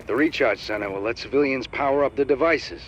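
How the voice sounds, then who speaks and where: An actor playing a practical, casual technician at a base in a video game. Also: male voice, medium pitch, fast talker, lo-fi sound, slight background noise, close to an everyday voice. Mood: impressed. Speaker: game character.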